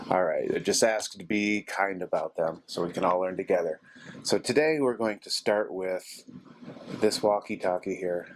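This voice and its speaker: A middle-aged man in a casual, unassuming hands-on tutorial, thinking aloud as he works. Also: deep voice. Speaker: host of a DIY repair vlog. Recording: steady machine noise in background, 3D printer. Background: 3D printer running.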